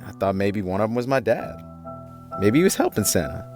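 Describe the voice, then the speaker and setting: An actor playing a defiant, streetwise young activist in an audio drama, with a sardonic, informal way of talking. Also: male voice, fairly low pitch, animated, brisk, music in the background, lightly acted. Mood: reminiscing. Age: young adult.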